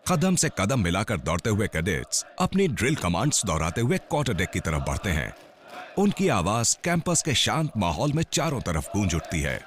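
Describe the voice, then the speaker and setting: A man's voice: lowish voice, huge pitch swings, moderate pace, slight background noise. A man giving scripted, dramatic documentary narration. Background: music.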